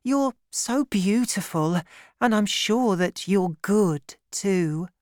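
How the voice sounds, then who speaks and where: A woman reading aloud and voicing a gentle, trusting young woman who talks in an eager, breathless way. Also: mid-range voice, animated, slow, dry recording, clean studio sound, pitched above the performer's own voice. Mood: earnest.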